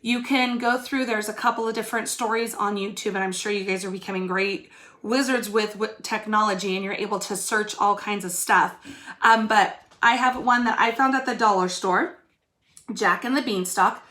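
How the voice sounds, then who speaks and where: A woman's voice: mid-range voice, moderate pace, a bit bright. A woman teacher giving young pupils an informal video lesson, explaining step by step and addressing them directly. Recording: echoey room.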